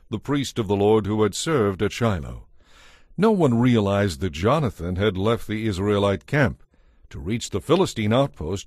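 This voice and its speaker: A man's voice: on the low side, darkish tone, breathy edge, no room sound, clean studio sound. A man narrating a dramatized scripture audiobook in a scripted, storytelling style.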